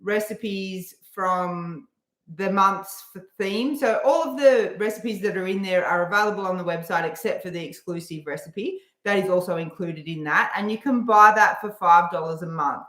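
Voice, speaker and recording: A woman co-hosting a casual live stream, relaxed, with lots of "um" pauses as she reads out comments. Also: medium pitch, echoey room.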